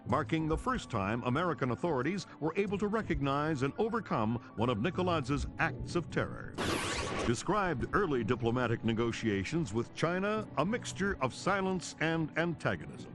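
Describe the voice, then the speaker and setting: A television news anchor in a game cutscene. His formal, urgent report moves with a lively intonation, mid-pitched, over clear background music. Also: moderate pace. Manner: formal, grave.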